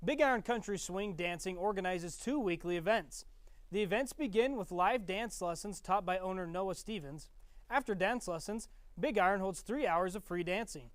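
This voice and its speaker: A woman's voice: mid-range voice, moderate pace, no room sound. A young woman co-anchoring a student newscast, reading stories and joking playfully. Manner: playful, self-deprecating. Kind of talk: news reading and light banter. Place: college TV studio.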